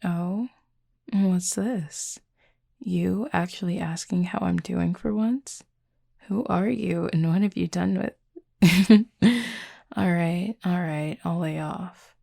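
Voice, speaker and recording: A woman voicing a confident, flirty werewolf pack leader in an intimate audio roleplay, with a husky, breathy, gravelly voice and a teasing, sardonic drawl. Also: fairly low pitch, slow pace, long pauses, mellow, no echo, studio quality, heavily acted. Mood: playful, coaxing.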